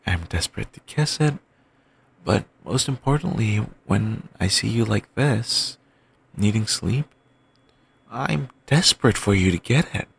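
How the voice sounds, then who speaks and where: A man playing an obsessive, doting yandere boyfriend in an audio roleplay, talking softly and rambling on with endearments. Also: lowish voice, creaky, slightly rough, full character voice. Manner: soft, murmuring, slow and rambling endearments.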